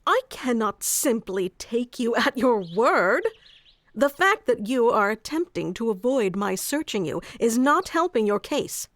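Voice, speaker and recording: A woman's voice: on the high side, sing-song, unhurried, dry recording. A woman playing a haughty, easily flustered young female elf guarding her forest in a scripted fantasy roleplay, with prickly, old-fashioned wording. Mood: stern, suspicious. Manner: prickly tsundere, archaic wording, stammers when flustered.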